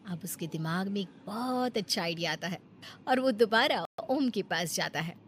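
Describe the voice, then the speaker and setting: A woman's voice: mid-range voice, animated, normal speed, a little hiss or hum. A woman narrator reading a scripted moral story for a cartoon.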